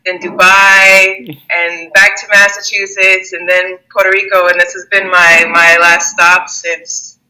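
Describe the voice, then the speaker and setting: A woman's voice: lowish voice, little pitch movement, a little slow, bright, forceful tone, poor audio. A woman in her thirties who grew up in Germany and the United States, a Muay Thai fighter chatting as an interview guest, laughing often and restarting her sentences. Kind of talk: casual interview conversation.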